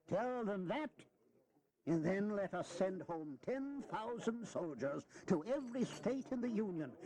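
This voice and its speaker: An actor playing a shrewd, philosophical old statesman in a historical audio drama, speaking in deliberate, theatrical oratory. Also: sits high, darkish tone, low-quality recording. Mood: persuasive.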